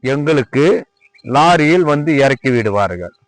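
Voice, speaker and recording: A man with a Tamil Nadu accent and a mid-pitched voice presents his workshop informally. He speaks in a demonstrative, repetitive way, respectfully addressing the viewer. The sound is dry, with little echo. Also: big pitch swings, a little slow. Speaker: lime manufacturer presenting own workshop. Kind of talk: informal product tour and sales pitch. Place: at a lime kiln worksite.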